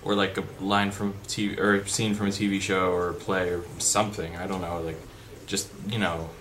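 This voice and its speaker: A young man with a deep voice chatting unscripted in a vlog, rambling with fillers and restarts. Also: level tone, normal speed, a bit bright. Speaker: vlogger. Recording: background noise.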